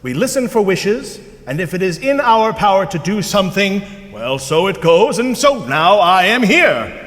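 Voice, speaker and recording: An actor playing a jolly, boastful, troll-like night spirit in a staged musical reading, theatrical and playful in both speech and song. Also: male voice, sits high, sing-song, normal speed. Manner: theatrical, playful, grandiose phrasing. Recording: live school stage, long reverb, loud music.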